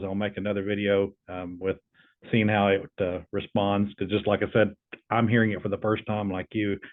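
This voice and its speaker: Male host, lowish pitch, flat intonation, casual, halting, low-key, thin telephone-like audio. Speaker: video host testing an AI voice. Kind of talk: casual explanatory chat and questioning. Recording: narrow tinny phone-like sound.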